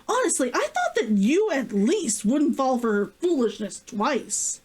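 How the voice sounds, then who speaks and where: A woman's voice: high voice, big pitch swings, unhurried, a little mellow, husky, pitched above the performer's own voice, full character voice. A woman voicing an indignant, controlling older sister who snarls accusations in a story read aloud. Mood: accusing, angry.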